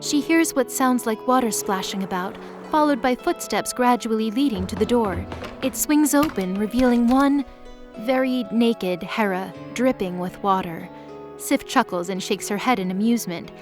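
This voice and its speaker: A woman's voice: on the high side, normal speed, over music. A woman reading scripted story narration as the narrator of an audio drama.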